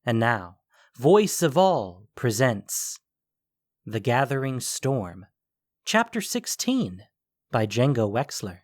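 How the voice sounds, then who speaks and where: A man narrating scripted fiction in a measured, deliberate storytelling manner. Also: mid-range voice, big pitch swings.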